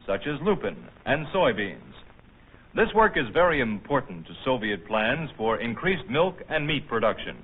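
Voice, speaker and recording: An American man reading formal, measured, scripted narration in the manner of a 1950s documentary newsreel. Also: medium pitch, slight background noise. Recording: tinny band-limited old film soundtrack.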